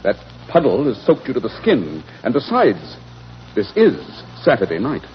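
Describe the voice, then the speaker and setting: An actor playing a clever, self-assured English gentleman detective with a British accent, explaining with smug authority in a 1940s radio drama. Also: medium pitch, wildly swooping pitch, moderate pace. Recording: dull, muffled 1940s radio recording.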